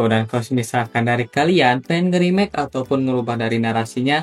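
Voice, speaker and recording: A man hosts a tutorial in a casual narrating style. His voice sits at a middle pitch. Music runs behind him. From Indonesia, Sunda region. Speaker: tutorial host. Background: background music.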